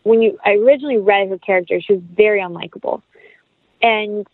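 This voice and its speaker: A woman's voice: medium pitch, quick pace, no echo, narrow, tinny audio. A woman chatting casually and informally as an actress being interviewed, rambling as she explains. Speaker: interview guest, film actress.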